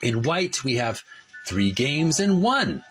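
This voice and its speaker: A man hosting a retro gaming channel, casually narrating a show-and-tell and often pausing mid-phrase. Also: medium pitch, big pitch swings, fairly bright tone, soft background music.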